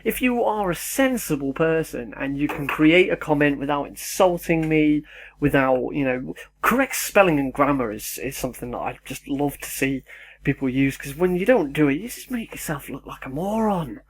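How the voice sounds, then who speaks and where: A man's voice: a little high, animated, normal speed. A young man, rambling casually and self-deprecatingly in his home kitchen as a YouTuber. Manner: chatty, sidetracked, self-deprecating.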